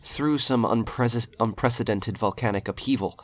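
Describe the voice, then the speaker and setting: A man reading a horror short story aloud in a slow, grave, brooding way. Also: medium pitch, faint music behind. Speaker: narrator.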